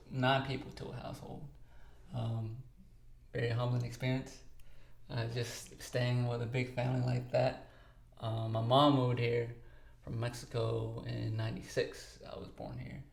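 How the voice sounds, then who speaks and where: A man's voice: mid-range voice, gravelly, a little mellow, reverberant. A man in his twenties from Texas, co-host of a podcast, talking casually in an interview, easygoing and talkative with lots of fillers. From Texas, United States. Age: 20s.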